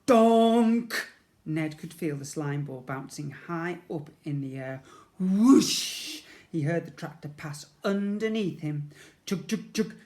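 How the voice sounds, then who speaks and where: A man's voice: a little high, a little mellow. A man narrating a children's storybook in a playful, dramatic way, acting out sound effects and leaning on repeated words. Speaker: primary school teacher reading to children.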